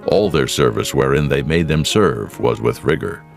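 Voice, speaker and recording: A man narrating dramatized scripture in a solemn, deliberate manner. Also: rumbling low, expressive, creaky, soft background music.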